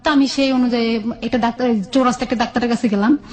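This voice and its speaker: Woman, Bangladeshi accent, higher-pitched voice, colloquial personal storytelling, recounted dialogue, interview guest. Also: fairly even intonation, rapid-fire, a little mellow. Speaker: guest telling own life story.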